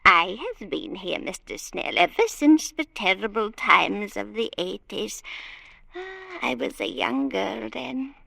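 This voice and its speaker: An actress playing an imperious, genteel old matriarch in a radio drama, grand, querulous and condescending, with a quavering old voice. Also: female voice, medium pitch, very animated, slow pace, creaky, pushed and bright, breathy edge, no room sound, heavily acted.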